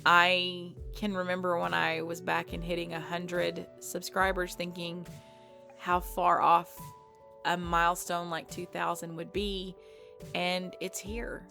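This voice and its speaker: A woman's voice: on the low side, completely flat delivery, slow, vocal fry, sharp tone, soft background music. A woman hosting her channel's subscriber Q&A, chatty, playful and self-deprecating. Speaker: ASMR channel host. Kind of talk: casual subscriber Q&A.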